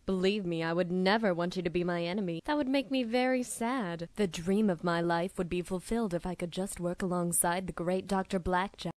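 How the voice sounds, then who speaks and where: A woman's voice: fairly low pitch, steady tone, brisk, few pauses, darkish tone, no room sound, lightly acted. A woman playing a calculating, self-assured female scientist villain in an anime dub, with composed, coaxing delivery. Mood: teasing, coaxing. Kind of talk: dramatic anime dub acting.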